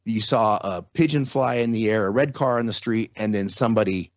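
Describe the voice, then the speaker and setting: A man, talking things through in a relaxed, conversational way. He builds his explanations step by step with everyday examples. The sound is dry and a little dull, like a modest lo-fi setup. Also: lowish voice, brisk, dry recording. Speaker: podcast guest. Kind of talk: casual explanatory interview talk.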